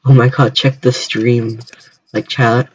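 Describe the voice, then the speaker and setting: A young American man chatting casually on a livestream, talking haltingly with many repeated starts. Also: medium pitch, level tone, slightly rough, dry recording, low-quality recording. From United States. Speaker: streamer.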